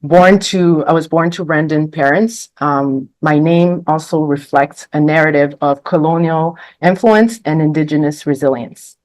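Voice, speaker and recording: Low-voiced woman reading a prepared speech. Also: a little slow, few pauses, darkish tone, dry recording. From Quebec, Canada. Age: 40s. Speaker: panelist, book contributor. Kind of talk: prepared speech read to an audience.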